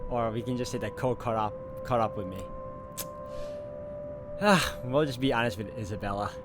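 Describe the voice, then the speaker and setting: A man's voice: mid-range voice, moderate pace. Male game player, mid-range voice, reading story narration aloud, prominent background music. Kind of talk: reading visual novel narration aloud, with casual asides.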